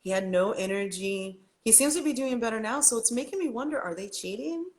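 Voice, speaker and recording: A woman's voice: on the high side, lively intonation, a little fast. A woman guest on a casual livestream chat, talking emphatically and bluntly, with some swearing.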